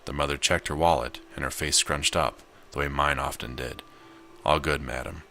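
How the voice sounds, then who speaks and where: A man's voice: rumbling low, fairly even intonation, moderate pace, pushed and bright, a little hiss or hum. A man reading a visual novel aloud and giving casual commentary as he plays. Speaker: video game player and narrator.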